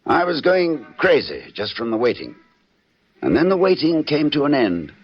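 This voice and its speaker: Old muffled radio show, a jittery man coming apart, intense n clipped, pitch all over the place. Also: wildly swooping pitch, moderate pace. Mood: grim, weary. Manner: intense, clipped, theatrical delivery. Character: jittery, unraveling man confessing to a police lieutenant. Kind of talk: 1940s-50s radio suspense acting. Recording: dull old radio recording, faint music.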